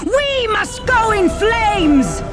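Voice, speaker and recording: An actress voicing a fervent, martyr-minded holy warrior, declaiming in a game cutscene. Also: female voice, very high voice, sing-song, very slow, full character voice. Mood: fanatical fervor. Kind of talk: dramatic game cutscene acting. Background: very loud music.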